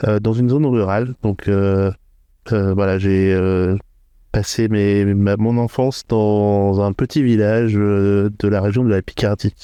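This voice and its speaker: A middle-aged man from northern France answering interview questions, thoughtful and hesitant, with frequent filler pauses. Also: on the low side, steady tone, mellow, clean tone, no room sound. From France, Hauts-de-France. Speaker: podcast guest, entrepreneur. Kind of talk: interview conversation.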